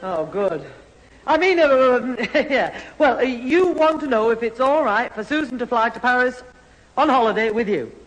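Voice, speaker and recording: A woman's voice: a little high, expressive, moderate pace, lightly acted. A woman playing a homely, long-suffering middle-aged mother with a Northern English accent in a 1970s radio comedy. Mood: kindly, hesitant. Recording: hall sound, faint hiss.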